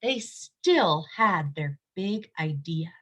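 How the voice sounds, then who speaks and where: A woman's voice: lowish voice, expressive, takes it slowly. A woman reading a picture book aloud to young children, explaining things simply and emphatically and asking her listeners questions.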